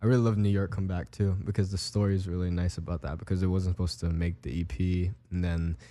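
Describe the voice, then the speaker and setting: A young man from Hawaii, a music producer giving laid-back, halting interview answers full of fillers. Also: low voice, flat delivery, warm tone.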